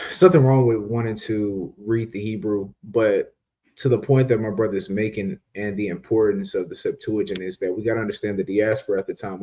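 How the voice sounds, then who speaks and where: A man's voice: lowish voice, warm tone, highs cut off. A man co-teaching a bible study, explaining history at length from his notes. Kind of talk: casual teaching talk.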